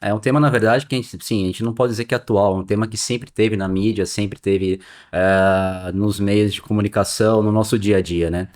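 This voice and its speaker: A lowish male voice with a São Paulo interior Brazilian accent, talking casually in his forties as a podcast guest. He explains things in a friendly, rambling way and keeps checking in with his listener. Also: steady tone, a little fast, few pauses, darkish tone. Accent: São Paulo interior Brazilian Portuguese. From Sorocaba, São Paulo state, Brazil. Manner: friendly, explanatory, many filler tags.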